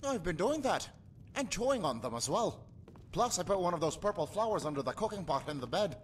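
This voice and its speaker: A man's voice: sits high, sing-song, fairly quick, slight background noise. An actor playing a superstitious rustic husband and father in a video game, folksy, breezy and matter-of-fact about grim things. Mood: jovial. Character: jovial superstitious rustic husband and father, callous, cheerfully macabre. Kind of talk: video game character acting.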